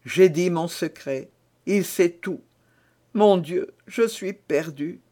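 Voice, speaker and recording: A man's voice: sits high, slow pace, warm tone. A man reading aloud and voicing a scheming imprisoned noblewoman whose begging turns to sly, calculating asides. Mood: desperate. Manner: breathless impassioned pleading, swinging to sly asides.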